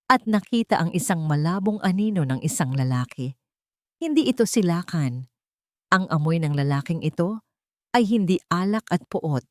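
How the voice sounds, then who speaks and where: Low-voiced woman narrating a dramatic story. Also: a little mellow, dry recording, clean studio sound.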